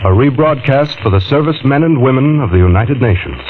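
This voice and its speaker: A man's voice: medium pitch, lively intonation, a little fast, background noise. Male announcer on tinny old radio.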